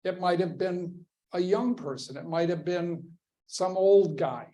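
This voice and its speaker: A man giving a history lecture to a class, measured and emphatic, often stopping to put questions to his listeners. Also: high voice, warm tone.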